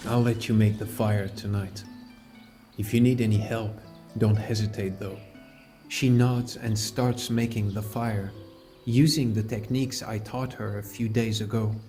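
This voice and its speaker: Fantasy audiobook lead, male actor: caring mentor adventurer, earnest, measured narration, dark warm tone, faint music behind. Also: on the low side, lightly acted. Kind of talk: scripted first-person audiobook narration.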